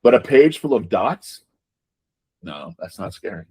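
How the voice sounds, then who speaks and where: A man's voice: lowish voice, sing-song, a little slow, warm tone, breathy edge. A man giving conversational interview answers as a game designer, emphatic, repeating words and often checking in with rhetorical questions.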